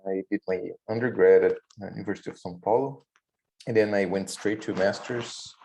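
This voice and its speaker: A man's voice: fairly low pitch, steady tone, a little fast, warm tone, poor audio. A man from Brazil introducing himself on a webinar panel, hesitant, often repeating words.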